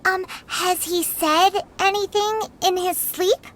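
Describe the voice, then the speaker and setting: A woman's voice: steady tone, takes it slowly, fairly bright tone, a little hiss or hum, full character voice. A woman voicing a small, childlike fairy companion in an anime video game, perky, inquisitive and eager. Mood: curious. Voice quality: squeaky, childlike. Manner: perky, inquisitive, sing-song. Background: game music.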